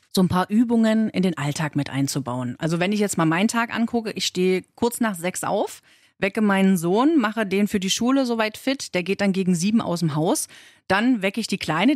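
A woman's voice: mid-range voice, a little mellow, no room sound, studio quality. A woman in her thirties talking casually and chattily as the co-host of a podcast, in everyday colloquial language. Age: 30s. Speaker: co-host, fitness beginner and working mother. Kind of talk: casual conversational podcast talk.

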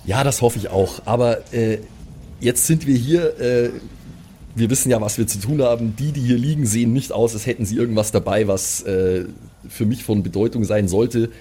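A man's voice: mid-range voice, normal speed, warm tone, slight background noise. A man improvising casually as a player in a tabletop role-playing game, hesitant and resigned in manner. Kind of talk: casual improvised in-character play.

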